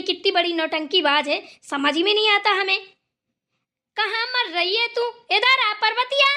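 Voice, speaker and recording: A bossy old village granny from a cartoon, played by a woman. Her voice is very high and sharp as she grumbles and orders the household about. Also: exaggerated voice. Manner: complaining, bossy. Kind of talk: Hindi family cartoon dialogue.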